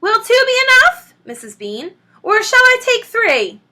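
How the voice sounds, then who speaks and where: A woman's voice: extremely high pitch, sing-song, unhurried, bright, forceful tone, pitched above the performer's own voice, full character voice. A woman reading a story and voicing a huge, coarse servant woman who is grumbling but dutiful.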